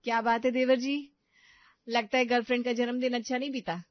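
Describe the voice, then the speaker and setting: A woman's voice: on the high side, flat delivery, fairly quick, no echo, highs cut off, close to an everyday voice. A woman voicing a melodramatic, teasing young sister-in-law in a cartoon family story, with a dramatic, reproachful way of talking.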